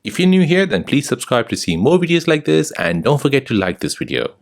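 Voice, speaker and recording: A man presents a scripted how-to tutorial in a mid-range voice. His intonation moves up and down in an animated way as he gives instructions step by step. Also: medium pitch, a little fast. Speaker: tutorial host.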